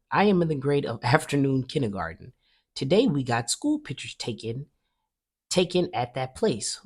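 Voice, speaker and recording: A woman reading a children's story aloud with expressive narration. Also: extremely low pitch, husky. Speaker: storybook reader.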